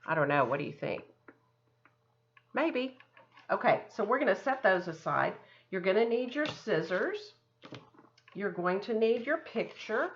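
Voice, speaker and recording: A woman's voice: medium pitch, very animated, measured pace, long pauses, slight background noise. A woman art teacher giving children a step-by-step craft lesson in simple, encouraging words, often thinking aloud, on a dull lo-fi recording.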